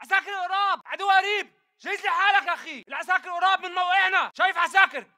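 An aggressive militant in a shooter game, barking urgent battle callouts with a Levantine Arabic accent. His voice is strained very high and rattles along fast, with a bright, forceful edge. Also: heavily acted. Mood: alarmed, urgent. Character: hostile militant fighter barking combat callouts. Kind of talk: shouted video game battle chatter.

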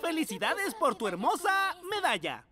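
A jolly cartoon teacher. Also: male voice, extremely high pitch, wildly swooping pitch, normal speed, heavily acted. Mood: cheerful. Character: cheerful male teacher, Mr. Topín. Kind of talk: Spanish cartoon dub. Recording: music bed behind the voice.

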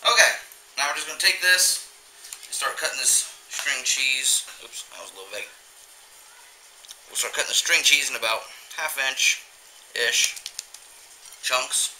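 A man's voice: medium pitch, a little slow, long pauses, slight background noise. A man in his thirties hosting a home cooking video, talking casually and rambling through the steps at his kitchen table. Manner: relaxed, rambling, with fillers. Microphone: camera mic. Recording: echoey kitchen on a camera mic.

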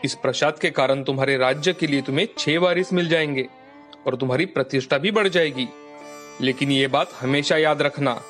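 A man playing a stern old sage, grave and commanding, in an animated folktale. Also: a little high, natural voice. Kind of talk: animated moral folktale dialogue. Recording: music bed behind the voice.

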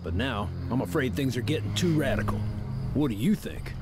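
An actor playing a sentimental, hard-drinking older activist drifter in a video game, with a folksy, drawn-out way of talking. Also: male voice, mid-range voice, animated, natural voice. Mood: sober, earnest. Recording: loud music behind the voice. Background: loud game music.

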